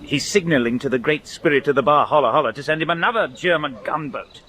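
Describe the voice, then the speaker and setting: An actor playing a grumbling, irreverent sailor with a sardonic manner in a radio drama. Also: male voice, fairly high pitch, quick pace, pushed and bright, slight background noise.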